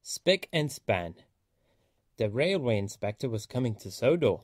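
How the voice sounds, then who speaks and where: A man reading a children's story aloud as an expressive storyteller. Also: mid-range voice, a little slow, heavy background noise.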